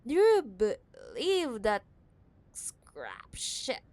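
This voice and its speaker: A woman playing a possessive, condescending wealthy CEO ex-girlfriend in an intimate cyberpunk audio roleplay, teasing and scornful with drawn-out emphasis. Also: fairly high pitch, huge pitch swings, a little mellow, no room sound, full character voice. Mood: mocking, scornful. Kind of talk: ASMR audio roleplay, intimate dramatic monologue.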